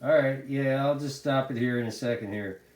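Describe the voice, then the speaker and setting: A man's voice: mid-range voice, normal speed, a little mellow. A middle-aged American man chatting casually as a CB radio hobbyist, talkative and given to repeating his phrases. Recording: echoey room sound.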